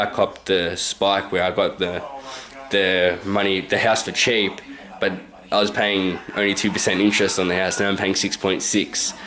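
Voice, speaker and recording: Aussie guy in his 20s chatting away to his camcorder at home, kinda low voice, keeps correcting himself and chuckling. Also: fairly bright tone, poor audio. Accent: Australian. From Australia. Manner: rambling, self-correcting, chuckles often. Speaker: vlogger. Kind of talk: casual story-time monologue. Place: his own house, indoors. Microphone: handheld camcorder.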